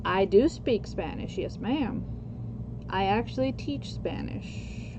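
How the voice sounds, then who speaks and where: A woman in her forties from Costa Rica, chatting slowly with viewers as she works on a paper craft. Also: mid-range voice, animated. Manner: slow, chatty, answers chat comments while working. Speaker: craft livestream host. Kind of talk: casual crafting chat with viewers. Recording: lo-fi with background noise.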